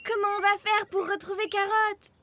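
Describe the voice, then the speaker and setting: A woman's voice: very high-pitched, flat delivery, moderate pace, bright, forceful tone, a little hiss or hum. A woman playing a spirited, caring and slightly bossy little schoolgirl in a cartoon, eager and emphatic. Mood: worried. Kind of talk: animated cartoon dialogue. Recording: music under the dialogue.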